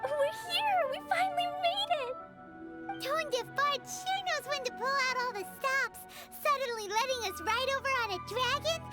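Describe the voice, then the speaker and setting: Very high, childlike little adventurer girl. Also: normal speed. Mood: excited. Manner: exclaiming, childlike, speaks in third person. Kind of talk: video game voice acting. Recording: music behind the voice.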